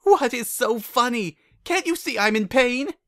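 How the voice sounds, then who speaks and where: A prim, dramatic lady unicorn played by a man from a cartoon pony story. The voice is pushed high and loud, with haughty, theatrical phrasing. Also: lively intonation, measured pace, exaggerated voice. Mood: pained, indignant.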